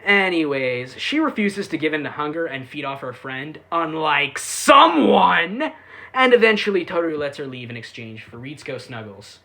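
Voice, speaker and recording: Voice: mid-range voice, sing-song, normal speed, bright, forceful tone. A reviewer giving a casual, sarcastic recap with irreverent, sweary delivery. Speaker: YouTube anime reviewer.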